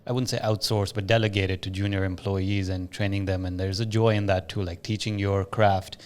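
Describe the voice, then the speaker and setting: A man's voice: deep voice, flat delivery, a little fast, a little mellow. A man hosting a podcast, chatting casually as he interviews a guest and shares his own experience as a business owner.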